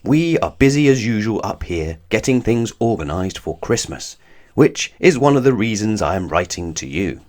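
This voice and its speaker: A man voicing a jolly, kindly old Father Christmas with a rumbly, chuckling voice in a children's storybook. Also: very animated, normal speed. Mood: kindly pleading. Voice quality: deep, rumbly. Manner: chuckling, warm. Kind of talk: children's storybook read-aloud.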